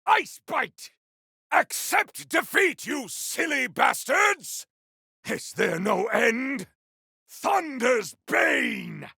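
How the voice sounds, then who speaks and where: A man voicing a proud, battle-hungry video game warrior, speaking in a theatrical, formal, declamatory manner with a gravelly, forceful edge. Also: very high voice, very animated, very slow, dry recording, clean studio sound, full character voice. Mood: battle fury. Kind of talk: video game combat barks.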